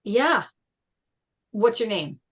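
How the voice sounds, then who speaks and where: A woman's voice: fairly high pitch, big pitch swings, extremely slow, slightly rough, exaggerated voice. A woman reading a proud, protective and blunt teenage boy athlete at a table read of a film script. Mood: confrontational. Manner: confrontational, blunt.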